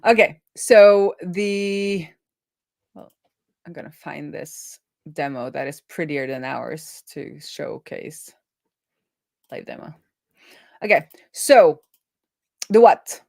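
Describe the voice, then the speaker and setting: A woman's voice: low voice, expressive, slow, creaky, pauses often, darkish tone, no echo. A woman explaining programming informally as a host, thinking aloud as she goes. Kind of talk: informal live coding explainer.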